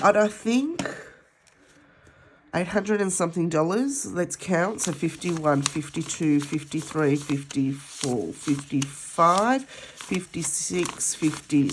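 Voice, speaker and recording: Australian woman, congested nasal voice, low-pitched, unhurried rambling chat. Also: slight background noise. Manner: rambling, chatty, with many ums. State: nasal from a head cold. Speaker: budgeting vlogger. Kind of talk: casual chat while counting cash.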